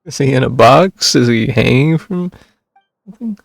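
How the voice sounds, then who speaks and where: A man's voice: medium pitch, animated, normal speed, creaky. A man streaming a video game, making wry, chuckling asides in casual commentary.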